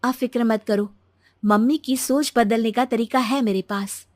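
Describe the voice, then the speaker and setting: A woman voices a confident young wife in a cartoon family drama. Her fairly high voice reasons earnestly and persuades gently, recorded dry and close. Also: a little slow, no echo, lightly acted. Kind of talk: Hindi cartoon story dubbing.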